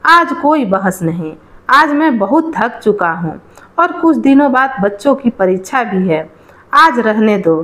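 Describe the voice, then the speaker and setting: A woman's voice: fairly high pitch, expressive, measured pace, fairly bright tone, poor audio. A woman voicing a tired, exasperated village husband in a storybook tale, weary and dismissive. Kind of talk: storybook dialogue.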